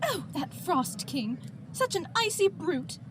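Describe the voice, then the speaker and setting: A woman's voice: very high-pitched, big pitch swings, slow pace, slight background noise, full character voice. A woman playing a sweet, dramatic, doting quilt doll in an audio drama, gushing and fussing theatrically. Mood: indignant. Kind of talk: amateur audio drama acting.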